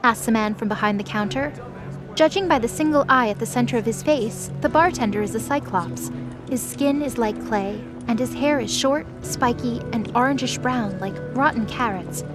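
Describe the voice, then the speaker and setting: A woman's voice: a little high, moderate pace. A woman reading scripted story narration for a fantasy audio drama. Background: music.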